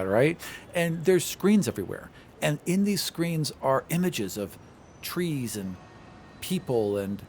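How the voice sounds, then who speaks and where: A man with a mid-pitched voice runs a tabletop fantasy game. He describes scenes conversationally and improvises as he goes, with clear noise behind him. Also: a little mellow. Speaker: game master. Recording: clearly noisy recording.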